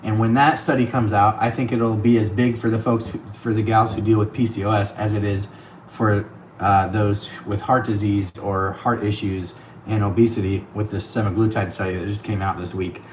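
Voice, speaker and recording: A man's voice: on the low side, flat delivery, moderate pace, reverberant, slight background noise, rough recording. A man hosting a casual livestream, chatty and thanking viewers by name, laughing often, his voice a bit worn. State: voice getting worn. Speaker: livestream host. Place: on the road, streaming from an iPad. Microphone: tablet mic.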